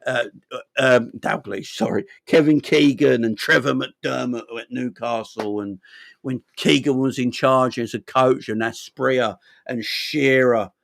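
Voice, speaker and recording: A man hosting a casual football chat, rambling, animated and a bit sweary. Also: mid-range voice, unhurried, creaky, no room sound. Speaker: football channel host.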